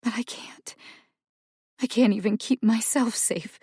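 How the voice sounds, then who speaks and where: A woman voicing a furious, overwhelmed young woman who shouts and curses as she nears breaking. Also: a little high, unhurried, no echo, studio quality, pitched above the performer's own voice. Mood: despairing. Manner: shouting, cursing, voice cracking.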